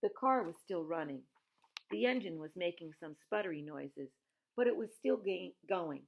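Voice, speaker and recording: A woman with a lowish, creaky voice reads a children's novel aloud. Her delivery is expressive storytelling, with a plain, teacherly way of explaining. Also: normal speed, low-quality recording. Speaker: reader of a children's novel who pauses to explain words. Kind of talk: expressive read-aloud storytelling with informal asides.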